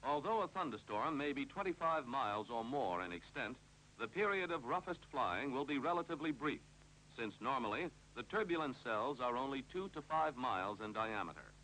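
A man's voice: medium pitch, sing-song, fairly quick, bright, forceful tone. An American man reading scripted instructional narration for a 1950s training film, with a clipped, authoritative delivery. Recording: noisy 1950s film soundtrack with hum.

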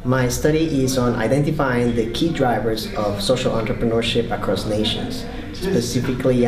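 A man in his thirties from Costa Rica, a PhD student, speaking fluently and calmly to camera. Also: on the low side, background noise. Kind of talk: interview to camera. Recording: roomy, mic at a distance.

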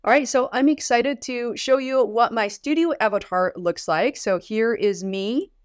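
A woman's voice: on the high side, a little slow, no echo. Upbeat woman, dry close sound. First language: Mandarin Chinese. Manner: upbeat, chatty walkthrough. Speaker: host. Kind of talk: software tutorial. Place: home studio room.

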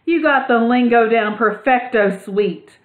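A woman's voice: on the high side, a little slow, echoey room, pitched above the performer's own voice, natural voice. A woman voicing a cool, laid-back female city mouse who teases and talks in slang. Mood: teasing. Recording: tinny phone-like sound.

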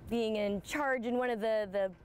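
A young woman in her twenties, a sports reporter, interviewing a guest in an upbeat, lively way, recorded outdoors on a lapel mic. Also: on the high side, normal speed, a little hiss or hum. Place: outdoors in a large empty stadium.

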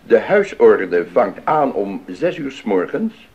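A man's voice: fairly low pitch, big pitch swings, slow, a little mellow, full character voice. A man playing a formal, self-important town official in a Dutch radio drama, instructing emphatically. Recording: dull 1980s radio recording.

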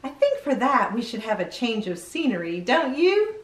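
A woman's voice: on the high side, very animated, normal speed, reverberant, recorded from a distance. A middle-aged woman hosting a children's read-aloud show, playful and exclamatory, often putting questions to the young viewers.

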